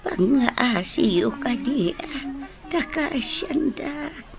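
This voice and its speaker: A woman acting a frail, pleading old beggar woman with a creaky voice and an Ilocano accent in a radio drama. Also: sits high, lively intonation, heavily acted. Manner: slow, imploring.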